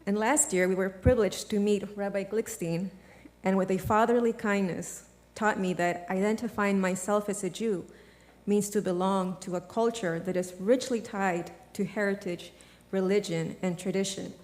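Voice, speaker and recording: A woman delivers a measured, earnest testimony from the front of a large sanctuary. Her mid-pitched voice rings out with a long reverb tail from the hall. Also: steady tone, a little mellow. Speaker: congregant giving a testimony. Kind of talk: prepared speech at a service.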